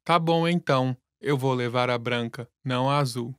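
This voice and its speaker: A man reading scripted lesson dialogue in a plain, relaxed way. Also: mid-range voice, moderate pace, clear, resonant tone, no echo, clean studio sound. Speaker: language lesson voice actor.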